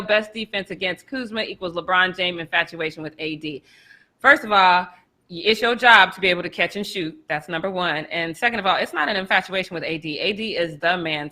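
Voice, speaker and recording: Woman, sports talk co-host, bright clear voice, playful, mid pitch. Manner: playful, laughs often. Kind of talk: casual sports chat.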